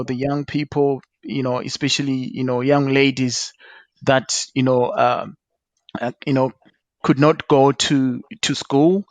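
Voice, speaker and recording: A man hosting an online panel discussion, introducing a guest in a halting way with many fillers and repeated words. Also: medium pitch, no echo, highs cut off. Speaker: talk show host.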